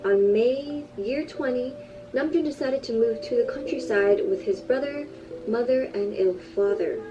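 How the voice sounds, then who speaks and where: A woman giving a scripted narration in an even, measured storytelling voice. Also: on the high side. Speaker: video narrator. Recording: muffled distant playback with music. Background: background music.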